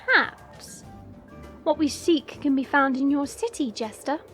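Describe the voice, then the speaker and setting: A woman's voice: sits high, lively intonation, measured pace, a bit bright, faint music behind. A woman playing a haughty yet playful young dragon princess in a fantasy audio roleplay, breezy and teasing. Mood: imperious, teasing. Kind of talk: fan audio roleplay acting.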